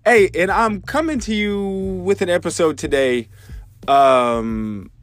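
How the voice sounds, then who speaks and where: A man's voice: high-pitched, takes it slowly, no echo. A man in his thirties, congested, hosting a podcast and thinking aloud, with many fillers and restarts. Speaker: podcast host. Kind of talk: casual spoken reflection.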